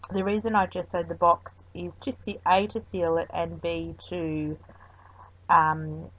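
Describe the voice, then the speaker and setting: This woman sits a touch low in pitch and talks in a loose, rambling way, dropping ums as she explains each craft step. Her voice-over comes through a dull, lo-fi recording. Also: level tone, measured pace, a bit bright. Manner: chatty and rambling, with frequent ums. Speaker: craft video host. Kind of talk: voice-over craft tutorial narration.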